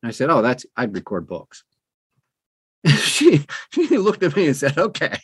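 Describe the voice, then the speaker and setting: A man in his sixties chatting as an interview guest, telling personal stories in an animated way and acting out quoted dialogue. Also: a little high, a little slow, husky, dry recording, clean studio sound. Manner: animated storyteller who acts out quoted dialogue. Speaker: guest, audiobook narrator. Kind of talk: conversational personal storytelling.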